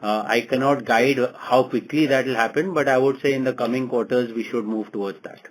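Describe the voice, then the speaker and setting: Measured man, company executive. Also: medium pitch. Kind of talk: earnings call answers.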